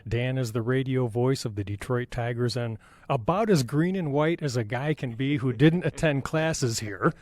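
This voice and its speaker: A man's voice: mid-range voice, normal speed, a little mellow. A man hosting a radio show, asking questions in a conversational interview.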